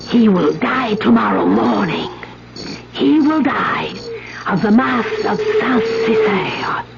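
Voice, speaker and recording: An actress playing a sinister, commanding older peasant woman in a 1970s radio drama, speaking in a hushed, deliberate, dramatic way. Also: a little high, big pitch swings, vocal fry, very noisy, exaggerated voice. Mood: ominous. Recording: dull 1970s radio, music underneath.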